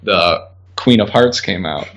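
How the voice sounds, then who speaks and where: A man's voice: low voice, unhurried, fairly bright tone. A man casually recounting memories as a guest, chatty and peppering his talk with "like". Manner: chatty, uses "like" often. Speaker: podcast guest, groom recalling his wedding. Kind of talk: casual conversational recollection. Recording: dull lo-fi voice recording.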